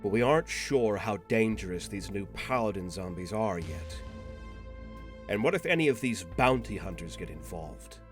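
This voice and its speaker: Man playing a low-voiced, cautious henchman. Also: lively intonation, faint music behind, close to an everyday voice. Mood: doubtful, concerned. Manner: measured, formal, questioning. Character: cautious, formal male subordinate agent reporting to superior. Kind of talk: scripted audio drama roleplay.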